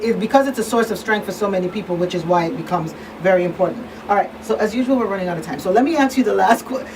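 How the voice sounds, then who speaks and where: A woman's voice: medium pitch, darkish tone. A woman hosting a talk show, speaking in a casual, conversational panel style. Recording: distant mic, clearly noisy room.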